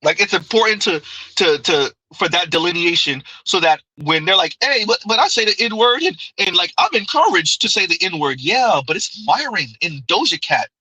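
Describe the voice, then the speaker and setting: A man talks through viewer comments on his live stream in a loose, chatty way. His voice is sharp and forward, sitting a little high for a man. The sound is close and dry, with almost no room around it. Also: lively intonation, moderate pace, slightly rough, dry recording, poor audio. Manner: conversational, repeats "right?", laughs often.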